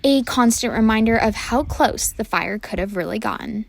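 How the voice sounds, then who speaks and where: A woman delivering a scripted student news report from the field in a steady, measured way. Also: a little high, creaky, dry recording, clean studio sound.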